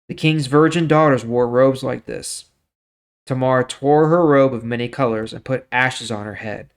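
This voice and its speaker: A man with a medium-pitched voice hosts a scripture reading series. He reads in a storytelling way and adds informal, explanatory remarks in a casual manner. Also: clean tone. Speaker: host of a daily Bible reading series.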